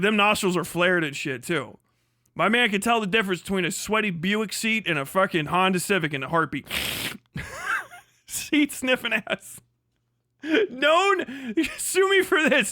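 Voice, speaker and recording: A young man hosting a live stream, tearing into people with crude, mocking jokes and frequent laughter. His voice sits very high and swings widely in pitch. The sound is bright and dry, with no room echo. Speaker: livestream host. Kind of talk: profane live reaction commentary.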